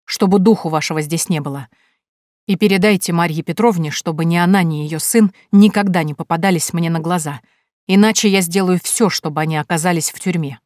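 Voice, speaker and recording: A woman's voice: on the low side, a little fast, darkish tone, no room sound, lightly acted. A woman voicing an aging male count who speaks in a cold, clipped, commanding way. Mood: icy anger. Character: stern aging male count, icy and wrathful. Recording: dry close recording.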